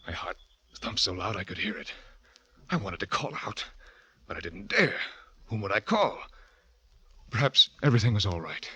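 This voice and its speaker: A young actor playing a guilty, panicking husband who narrates his own story in a halting, stammering way, in 1940s radio drama style. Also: lowish voice, sharp tone, slight background noise. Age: young adult. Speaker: narrator and lead.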